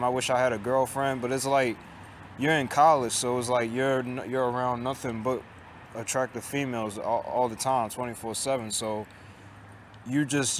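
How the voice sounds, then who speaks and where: Man in his late 20s, halting and downcast. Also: medium pitch, a little hiss or hum. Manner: halting and repetitive, with frequent restarts and swearing; resigned, downcast tone. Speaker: vlogger. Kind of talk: casual confessional monologue. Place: outdoors on a college campus.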